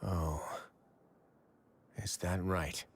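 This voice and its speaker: A man voicing a composed, shrewd and commanding prison overseer in a video game, measured and dry. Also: steady tone, slight background noise, heavily acted. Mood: calm, deliberate. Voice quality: low, controlled voice. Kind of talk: voiced game dialogue.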